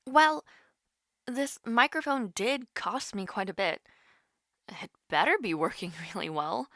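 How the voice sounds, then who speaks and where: A woman's voice: a little high, animated, measured pace, fairly bright tone, dry recording, clean studio sound. A woman voice-acting a nervous, playful young woman on a call with her online crush, hesitant and teasing in an intimate audio roleplay. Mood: relieved, chatty.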